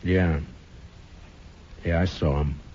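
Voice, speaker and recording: An actor playing a stern, weary Old West marshal in a 1950s radio western, with an American accent and a terse, dry delivery. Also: male voice, deep voice, fairly even intonation, takes it slowly, darkish tone. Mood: grim. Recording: dull old radio broadcast.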